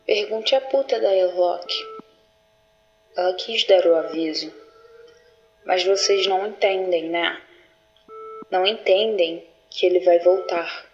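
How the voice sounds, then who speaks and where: A woman's voice: on the low side, slow pace, pauses often, highs cut off. A woman playing a possessed, scornful prophet of doom in an audio drama, ominous and contemptuous. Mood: scornful menace.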